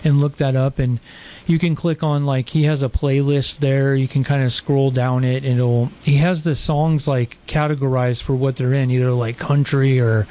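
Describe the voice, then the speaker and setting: A man sitting in on a radio talk show, chatting casually and rambling through his points with plenty of fillers. His voice sits at an average pitch, and the recording sounds a little dull and lo-fi. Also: steady tone, normal speed. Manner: rambling, lots of ums and likes. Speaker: guest co-host.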